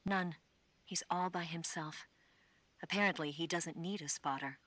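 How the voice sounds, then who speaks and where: A woman's voice: fairly low pitch, unhurried, a bit bright, no echo. An actress playing a cool, confident female spy giving a briefing in a video game, assured and matter-of-fact. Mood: cool, urgent. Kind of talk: video game radio-call dialogue acting.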